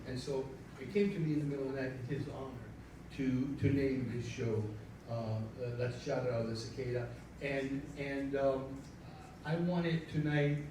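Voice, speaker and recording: A man with a Cuban accent welcoming a live audience as producer, loose and self-deprecating, halting with fillers. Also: medium pitch, noisy background. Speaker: show producer. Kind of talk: rambling welcome speech. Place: live stage before an audience. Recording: echoey room, mic from far, audience.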